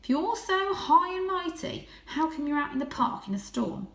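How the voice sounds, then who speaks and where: A woman acts out a tough female street cat from a children's story. She is scornful and blunt, quizzing and dismissing whoever she talks to. The room gives the voice a clear echo. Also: very high voice, animated, normal speed, a bit bright, pitched above the performer's own voice, exaggerated voice. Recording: roomy, echoing indoor sound.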